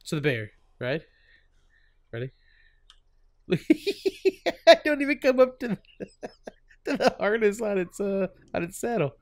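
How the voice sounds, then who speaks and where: A man's voice: sits high, sing-song, measured pace, pauses often, dry recording. A man with a stuffy cold hosting his gaming channel, chatting casually over gameplay, laughing often and full of fillers and restarts.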